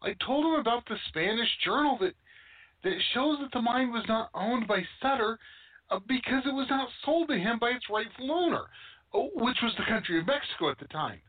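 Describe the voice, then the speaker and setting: Young male scholar played by a woman for radio play: indignant, stammering, very animated, thin lo-fi sound. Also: fairly high pitch, a little fast. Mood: flustered explaining. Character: Peter, flustered young male academic. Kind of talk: amateur radio play acting. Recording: tinny phone-like sound.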